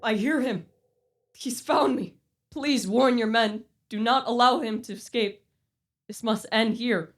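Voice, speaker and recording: A woman's voice: on the high side, a little slow. A woman playing a dying, vengeful male scientist in a radio play, declaiming with solemn, melodramatic anguish. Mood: desperate alarm. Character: dying, vengeful male scientist obsessed with hunting his creation. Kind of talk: theatrical radio play acting.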